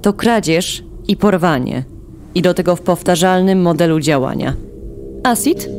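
An actress playing a smug, commanding chancellor villain in a sci-fi audio drama, speaking with composed, mocking politeness. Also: female voice, fairly low pitch, lively intonation, moderate pace, darkish tone, faint music behind, natural voice.

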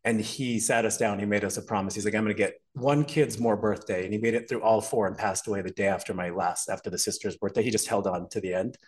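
A man in his forties from Montreal, a fitness expert guesting on a podcast, telling his life story in an animated, chatty way. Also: fairly low pitch, quick pace, hardly any pauses. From Montreal, Canada. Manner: animated, storytelling, laughs often. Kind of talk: casual interview chat.

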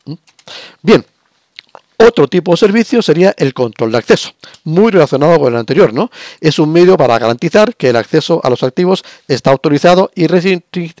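Hoarse older lecturer, dry sound. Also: male voice, fairly high pitch, lively intonation, brisk, a little mellow, dry recording. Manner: explanatory, repetitive, many fillers and restarts. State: has a cold, slightly hoarse. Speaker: university lecturer. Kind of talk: recorded lecture over slides.

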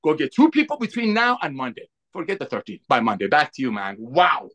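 High-voiced man preaching a sales pitch. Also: big pitch swings, a little fast, a bit bright. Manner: preachy, emphatic, acting out quotes. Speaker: panelist on a sales call. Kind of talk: animated sales pep talk. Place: home room, video call. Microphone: webcam on a video call.

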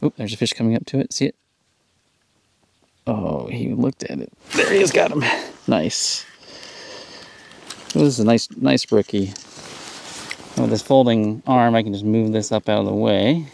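A man in his thirties on a frozen lake outdoors, explaining fishing electronics in a relaxed, casual demonstration. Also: on the low side, moderate pace, creaky, pauses often, a little hiss or hum. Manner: explanatory, relaxed, upbeat when catching fish. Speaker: fishing channel host.

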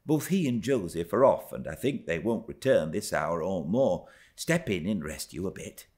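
A man's voice: sits low, very animated, moderate pace, a little mellow. A man voicing a kindly rustic woman servant, speaking reassuringly in homely dialect.

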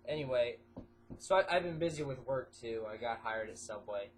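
A stuffy-nosed teenage boy chats loosely into a desk webcam, his voice sitting at an ordinary middle pitch. He wanders from topic to topic with plenty of "uh" and "um." A faint hiss runs under the small bedroom recording. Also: lively intonation, moderate pace. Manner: rambling, filler-heavy, jumps between topics. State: stuffy nose. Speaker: vlogger. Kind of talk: casual webcam vlog.

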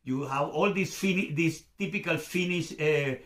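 A middle-aged Venezuelan man with a Spanish accent hosts his home channel in English, pausing often with fillers and restarting phrases. His voice sits somewhat high for a man. The room around him gives it a noticeable echo. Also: fairly bright tone. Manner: hesitant, lots of fillers and restarts. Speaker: YouTube channel host. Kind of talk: casual explainer to camera. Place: home room with shelves, indoors. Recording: roomy echo.

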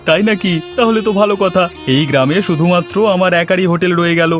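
A man's voice: high voice, moderate pace, a bit bright. A man voicing a scheming, greedy eatery owner in a cartoon story, with a bossy, gloating manner. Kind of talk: Bengali cartoon story voice acting. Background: music.

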